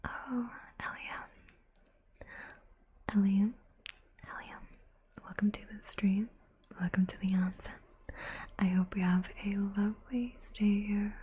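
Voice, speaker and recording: A woman hosting an ASMR livestream, chatting softly to viewers in a breathy whisper with unhurried asides. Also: medium pitch, steady tone. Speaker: livestream host, ASMR artist.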